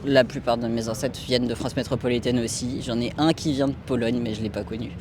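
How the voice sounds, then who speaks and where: A young man with an even mid-range voice answers calmly and plainly on a busy street. Traffic noise is loud behind him. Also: mid-range voice, quick pace. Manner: calm, matter-of-fact. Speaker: passer-by interviewee. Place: busy city square outdoors.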